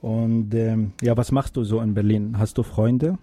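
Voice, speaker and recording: A male interviewer asks gentle, encouraging questions, hesitating often with fillers. His voice is low and very dark, with a husky edge. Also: fairly even intonation, unhurried, no room sound.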